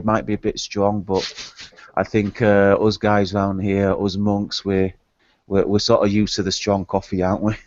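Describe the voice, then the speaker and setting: A man taking part as a guest in a casual online discussion, talking in a halting, stammering, musing way. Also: on the low side, a little mellow, dry recording. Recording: dry, dull lo-fi call audio.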